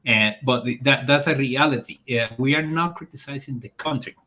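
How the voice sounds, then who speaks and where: A man's voice: mid-range voice, moderate pace, bright, forceful tone. Dominican-accented man, casual talk-show guest. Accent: Dominican Spanish. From Dominican Republic. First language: Spanish. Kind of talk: casual political discussion.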